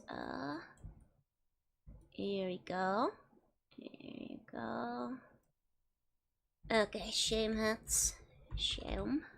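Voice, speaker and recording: A woman's voice: a little high, gravelly, bright, forceful tone. A woman with a Dutch accent chatting casually while streaming a game, giggling often between remarks. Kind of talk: casual live gameplay chat.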